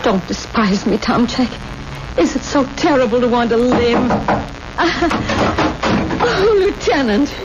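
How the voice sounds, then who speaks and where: Hissy old radio drama, a nervous stammering wife, high voice, anxious and pleading. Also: female voice, expressive, unhurried. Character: anxious, pleading, flustered wife of a hunted man. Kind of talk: 1970s radio drama acting. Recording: muffled, very noisy old radio broadcast.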